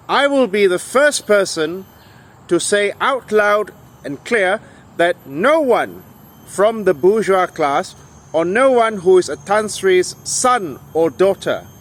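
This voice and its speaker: A man delivering an argumentative monologue to viewers in an emphatic, deliberate way, with rhetorical questions. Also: sits high. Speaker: video essayist and English tutor.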